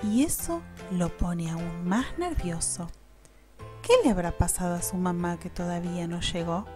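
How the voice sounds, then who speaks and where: A woman with an Argentine accent narrating a children's story in an expressive storytelling style. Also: fairly low pitch, a little slow, faint music behind.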